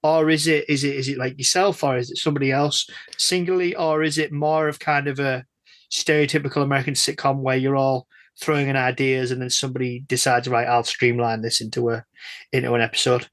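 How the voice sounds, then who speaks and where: A man's voice: mid-range voice, flat delivery, fairly quick, a bit bright, dry recording. A man with a British accent interviewing casually, wordy and tentative, repeating words as he builds his questions. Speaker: podcast interviewer, stand-in host.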